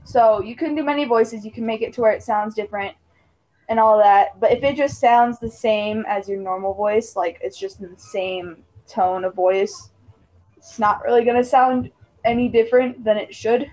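A woman's voice: fairly high pitch, normal speed, a little mellow, rough recording. A woman in a casual online group chat who bluntly orders the others around, swears, and laughs a lot.

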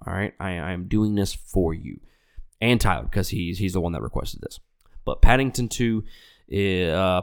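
A man's voice: low voice, a little mellow. A man hosting a movie review podcast in a loose, rambling way, sounding nasal and congested from an illness. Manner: rambling, self-correcting, frequent restarts; loose, profane, conversational. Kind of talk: casual chat.

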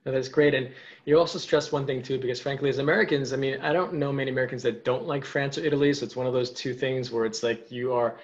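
A man's voice: mid-range voice, steady tone, fast. A man from New York hosting a podcast interview, asking enthusiastic, rambling questions. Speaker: podcast host. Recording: dull lo-fi sound.

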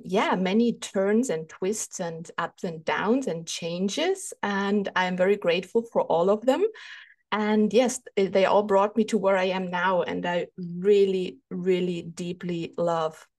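A woman's voice: mid-range voice, a little mellow. German-accented woman, hesitant and thoughtful. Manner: thoughtful, hesitant with many fillers. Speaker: holistic health therapist and coach. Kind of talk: podcast interview.

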